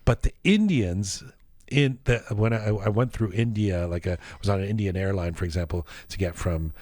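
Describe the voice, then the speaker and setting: Low-voiced man, rambling and animated. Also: normal speed. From Iranian heritage. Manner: rambling, animated storyteller with many restarts. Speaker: podcast host. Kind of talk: casual chat. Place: studio.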